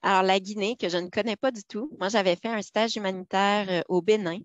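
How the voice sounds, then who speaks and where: A woman's voice: mid-range voice, fairly even intonation, brisk, no echo. A woman who hosts a podcast and works as a learning specialist. She asks friendly questions and fills gaps with "euh". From French Canada. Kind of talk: conversational interview. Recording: dull, dry, lo-fi sound.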